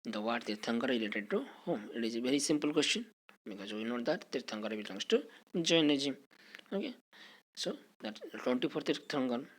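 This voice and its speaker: Indian history teacher with swooping pitch. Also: lively intonation. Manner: halting, repeatedly checking in with "okay". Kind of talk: exam-prep lecture with question and answer.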